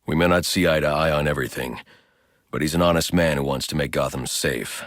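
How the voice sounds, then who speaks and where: An actor voicing a grim, driven masked vigilante detective in a video game, with a gravelly deep voice and terse, stern delivery. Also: male voice, fairly quick, a bit bright, no room sound, clean studio sound. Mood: grim, measured. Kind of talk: video game voice lines, scripted hero dialogue.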